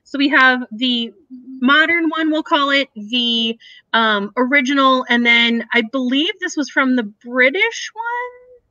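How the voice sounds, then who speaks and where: A woman's voice: high-pitched, expressive, measured pace, bright, forceful tone, no room sound. An American woman in her thirties chatting casually and giggly as she hosts an author event over a video call. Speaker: host, bookseller. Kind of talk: casual chat introducing an author reading. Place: small home office with shelves. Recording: dull, lo-fi video call audio.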